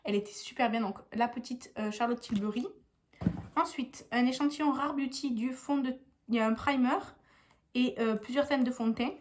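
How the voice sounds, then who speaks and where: A woman's voice: on the high side, normal speed, background noise. A young woman with a stuffy nose from a cold, chatting casually in a meandering beauty vlog at home, full of hesitations. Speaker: beauty vlogger.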